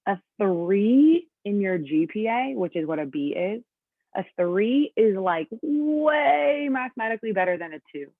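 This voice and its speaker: A woman's voice: on the low side, no echo. A woman in her late twenties with a Californian accent runs an online class, chatty and upbeat, full of ums and likes. Her voice comes through a thin, narrow video call line. The sound is dry and close. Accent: Californian English. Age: late 20s. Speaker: teacher. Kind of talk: online class, casual teaching. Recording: thin phone-like audio over a video call.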